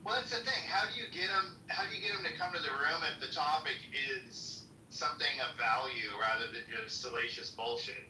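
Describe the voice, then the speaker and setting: Man, high nasal voice, casual chat, faint hiss, echoey room. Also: brisk, few pauses, bright, forceful tone. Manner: casual, self-reflective, swearing. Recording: echoey room, distant mic, faint noise.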